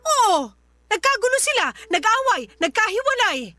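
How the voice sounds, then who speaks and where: A woman's voice: extremely high pitch, very animated, normal speed, bright, forceful tone, no room sound, full character voice. A woman acting a desperate, demanding young mother in a Filipino radio drama, indignant and insistent. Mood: accusing.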